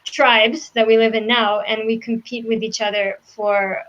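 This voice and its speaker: Woman, fairly high voice, quick casual podcast talk, muffled lo-fi sound. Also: little pitch movement, a bit bright. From Russia. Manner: quick, restarts often, laughs a lot. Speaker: podcast co-host. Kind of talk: casual group discussion. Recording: dull lo-fi sound.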